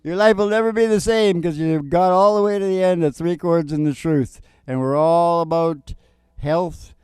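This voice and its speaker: A man's voice: a little high, moderate pace, a little mellow, smooth, dry recording, clean studio sound. A man hosting a country music show, chatting and joking in a rambling, folksy way. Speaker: host and singer. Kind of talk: casual chat with acoustic country singing. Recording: music playing behind. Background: acoustic guitar.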